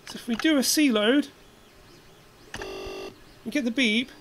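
A man's voice: extremely high pitch, unhurried, fairly bright tone, a little hiss or hum. A man giving a casual, hands-on demonstration as an electronics hobbyist in his workshop. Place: cluttered indoor electronics workshop.

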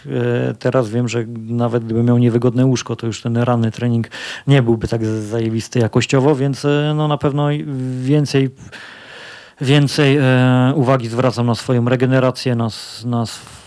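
A man's voice: medium pitch, level tone, normal speed, a little mellow. A man in his thirties, a fighter answering press questions into a handheld mic, talking casually with lots of fillers and restarts. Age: 30s. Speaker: MMA fighter. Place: press conference room with sponsor backdrop. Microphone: handheld microphone.

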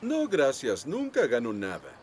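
An actor playing an excitable, stubborn, childish male hippo in a children's cartoon dub, exclaiming and whining defensively. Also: mid-range voice, measured pace, slight background noise. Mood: reluctant. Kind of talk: Spanish-dubbed children's cartoon acting. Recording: music under the voice.

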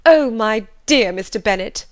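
A woman reading aloud, voicing an excitable, gushing middle-aged mother who talks in high, emphatic bursts. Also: normal speed, lightly acted. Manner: breathless, effusive, high and emphatic.

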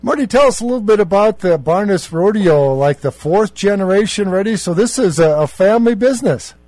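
A man's voice: sits high, animated, a little fast, a little mellow, no room sound. A man hosting a conversational radio interview, putting questions to guests. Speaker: radio host.

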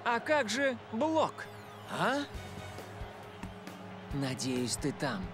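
Earnest, slow-paced young striker. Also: male voice, high voice, huge pitch swings, music in the background, heavily acted. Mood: hopeful. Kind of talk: animated cartoon dub.

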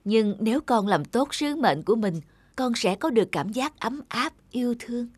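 A woman voicing a gentle, wise guardian angel in a cartoon, tender and instructive. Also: on the high side, expressive, moderate pace, breathy edge, no room sound. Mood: gentle, solemn. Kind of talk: animated fairy-tale dubbing.

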